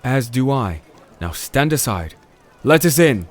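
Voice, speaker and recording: A man playing a calm, firm crusader in a fantasy audio drama, narrating and speaking in a measured, solemn way. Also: medium pitch. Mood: commanding. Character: disenchanted male crusader, calm, firm sword-bearing investigator. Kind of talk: audio drama dialogue and first-person narration.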